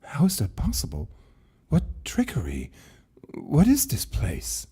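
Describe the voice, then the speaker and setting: Frightened everyman in an audio story, played by a male reader: higher pitched, halting, husky, emotionally strained. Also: wildly swooping pitch, mellow, exaggerated voice. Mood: stunned, frantic. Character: bewildered, frightened man trapped in a mysterious library. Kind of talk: dramatized short story reading.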